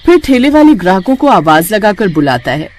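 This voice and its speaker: A woman tells a scripted cartoon moral tale as its narrator. Her pitch is medium and moves around in an animated way. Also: a little fast, soft background music. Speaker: storyteller.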